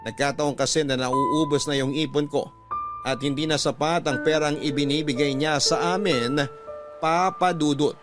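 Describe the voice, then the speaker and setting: A man with a fairly high voice reads a heartfelt letter as a radio drama narrator. He tells it in the first person as a personal confession, with music clearly playing behind him. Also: steady tone, normal speed. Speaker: narrator reading a listener's letter. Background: background music.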